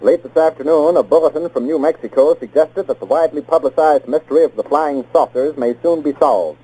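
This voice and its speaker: A man's voice: fairly high pitch, fairly quick, warm tone. An American man anchoring a scripted 1940s radio newscast in a formal, clipped announcer's delivery. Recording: muffled 1940s radio broadcast.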